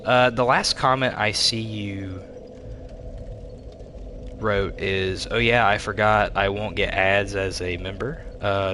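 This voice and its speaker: A man with a stuffy, congested voice, livestreaming a video game with casual, halting commentary and replies to chat. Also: lowish voice, noisy background. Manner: halting, reading and answering chat aloud. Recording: game music behind the voice. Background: video game music.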